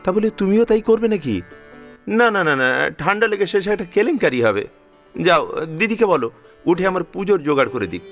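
A man's voice: sits high, very animated, a little fast, long pauses, faint music behind. An actor playing an affectionate, fussing father-in-law of sixty-five in a Bengali audio drama, emphatic and repeating his words as he scolds protectively. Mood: protective scolding. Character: affectionate, fussing 65-year-old father-in-law, devout household elder.

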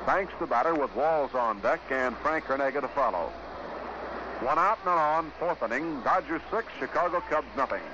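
A man calling baseball play-by-play in 1950s radio style, in measured, clipped phrases with pauses. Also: fairly high pitch, lively intonation. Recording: noisy, dull vintage 1950s radio broadcast.

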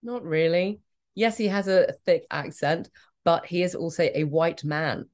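A woman's voice: fairly low pitch, a little mellow. A middle-aged woman with a British accent, talking frankly and emphatically as a guest in an interview. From Australia. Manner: emphatic, frank, quick to laugh. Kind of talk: candid conversational interview.

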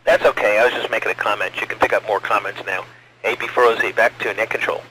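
A man's voice: fairly low pitch, fairly even intonation, quick pace, sharp tone. A man talking casually over a two-way radio as part of a net. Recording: noisy ham radio transmission.

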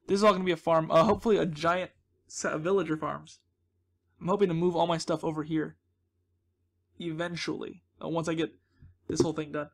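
Male gaming host, slightly high register. Chatty, jokey, self-deprecating, loose off-the-cuff play commentary. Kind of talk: casual rambling gameplay commentary.

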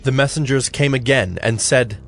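A man narrating an audio Bible as a scripted, measured storyteller. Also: medium pitch. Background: music.

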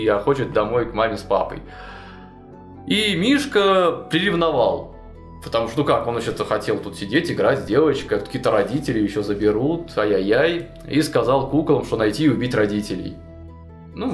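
A young man talks through a long story in a small studio, animated and a bit rambling, filling gaps with fillers and restarting phrases. His voice sits at a middling pitch and rings in a roomy space, with faint music under it. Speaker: storyteller recounting a tabletop game campaign. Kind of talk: casual retelling to a co-host. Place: small indoor studio with chalkboard wall.

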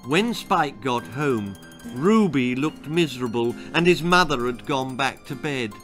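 A man's voice: mid-range voice, animated, measured pace, a bit bright, soft background music. A British man in his sixties reading a children's story aloud in a dramatic storytelling style. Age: 60s. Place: small book-lined room indoors.

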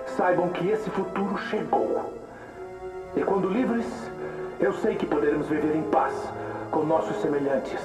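A fanatical revolutionary played by a male actor orates in an audio drama. His voice is fairly high, echoing from a distance. He is solemn, deliberate and menacing, like a man addressing captives. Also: close to an everyday voice. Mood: grave, defiant. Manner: grandiose, deliberate, oratorical, dropping to a whisper at times. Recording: distant, echoey, with loud music.

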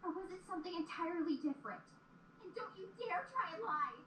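A woman voicing an indignant young female pony, a confrontational and defensive little sister, in an animated fan audio drama. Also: very high-pitched, quick pace, heavily acted. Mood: indignant, defiant. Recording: echoey, faint background noise.

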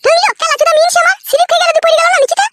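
A woman voicing a defiant, sharp-tongued young second wife in a comedy cartoon, taunting brazenly. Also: very high-pitched, steady tone, racing, heavy background noise. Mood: indignant. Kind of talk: comedy cartoon dub, quarrelling.

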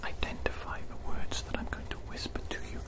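A man speaks entirely in a breathy, unvoiced whisper, close to the mic. He plays a doctor in an ASMR roleplay, talking softly and deliberately and repeating short phrases as he goes. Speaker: ASMR performer playing a doctor.

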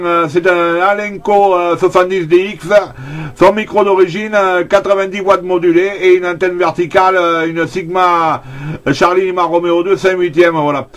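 A middle-aged man from France chatting as a CB radio operator, repeating details and tagging his phrases. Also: high-pitched. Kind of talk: radio contact chat.

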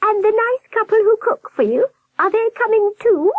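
A woman voicing a huge storybook nurse who speaks in a high, warbling, gushing and falsely sweet voice. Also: lively intonation, normal speed, no echo, narrow, tinny audio. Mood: gushing, insistent. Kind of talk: audiobook character voice.

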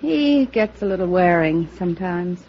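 Low, creaky, world-weary tipsy woman. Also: lively intonation, normal speed. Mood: wistful. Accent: American. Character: bitter, world-weary woman drinking alone by moonlight. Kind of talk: 1950s American radio drama acting. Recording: dull, hissy old radio recording.

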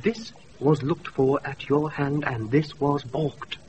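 An actor playing in a Shakespearean radio comedy, with an animated, theatrical manner. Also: male voice, fairly high pitch, slow. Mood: mock-earnest, goading. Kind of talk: comic Shakespearean radio acting. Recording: muffled, noisy off-air radio recording.

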